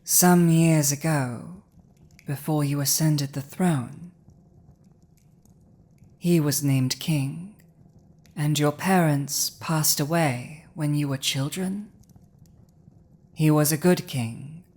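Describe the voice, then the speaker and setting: Woman acting as villainous female dragon, deep feminine voice, very slow delivery, commanding, deliberate pauses, dramatic ASMR roleplay. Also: a little mellow. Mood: stunned, piecing together. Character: villainous commanding female dragon, intense yet softening to compassion.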